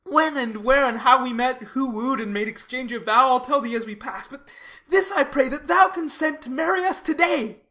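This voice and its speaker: A woman acts an eager young man in love, in theatrical classical verse. The voice is high and bright, with an ardent, earnest delivery that is openly expressive. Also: moderate pace. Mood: ardent, pleading. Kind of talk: classical verse drama, theatrical dramatic reading.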